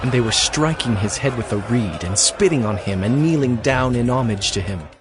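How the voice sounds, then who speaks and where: A man's voice: medium pitch, expressive, moderate pace, music in the background. A man narrating scripture from a script in a dramatized audio production.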